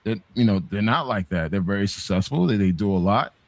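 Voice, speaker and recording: Man, middle-aged, American accent, lowish pitch, dry recording, casual debate, emphatic, swears freely. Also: lively intonation, brisk, no echo. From United States, Haitian background. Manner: emphatic, swearing, repeats himself. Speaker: talk show participant. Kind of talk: casual opinionated discussion.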